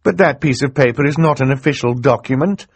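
A man's voice: mid-range voice, fairly quick, slightly rough, no echo, pitched above the performer's own voice. A man voicing a kind, earnest woman judge in an audiobook. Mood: indignant. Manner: earnest, pleading.

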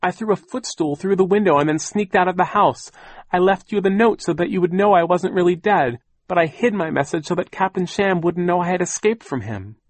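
Man putting on a high, fussy, fretful older aunt. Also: animated, normal speed, a little mellow, no echo. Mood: whining, frightened. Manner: whining, pedantic, anxious. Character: fussy, fearful, grammar-obsessed aunt, older woman. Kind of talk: audiobook character voice.

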